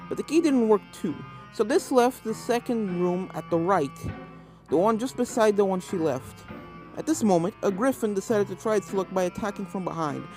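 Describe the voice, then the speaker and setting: A woman's voice: mid-range voice, a little mellow, poor audio. A woman reading a fan fiction story as a scripted narrator. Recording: game music under the voice.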